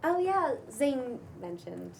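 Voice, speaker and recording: Woman as skeptical newcomer, higher voice, varied intonation, off-mic, noisy room, script read. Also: sing-song, takes it slowly, creaky, distant mic, heavily acted. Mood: awkward. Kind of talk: table read acting of a pilot script.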